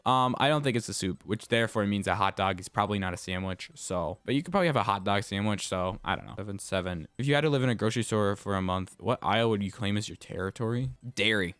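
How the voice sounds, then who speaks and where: A man's voice: on the low side, fairly bright tone, clean tone, no room sound. A young man rambling casually through viewer questions, his upbeat bursts trading off with mumbled asides. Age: young adult. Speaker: YouTuber answering viewer questions. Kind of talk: casual Q&A vlog.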